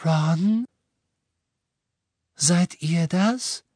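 A man voicing a gentle, dreamy teenage girl who speaks politely and unhurriedly in an audiobook story. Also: on the high side, slow, no room sound, voice pushed up. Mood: gentle, calm.

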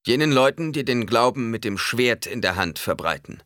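A man voicing a fiery old desert preacher in an audiobook, proclaiming solemn warnings in a booming, declamatory way. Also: lively intonation, normal speed, a bit bright, dry recording, clean studio sound, lightly acted. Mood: accusing, fervent.

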